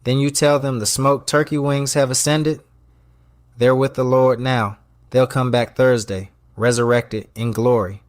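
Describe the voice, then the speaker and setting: A man's voice: mid-range voice, flat delivery, measured pace, no echo, close to an everyday voice. A man playing a commanding restaurant boss in an audio drama, with a clipped, dryly funny delivery. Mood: dry, wry. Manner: commanding, clipped, dry humour. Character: brisk, wry male restaurant boss running a tight ship.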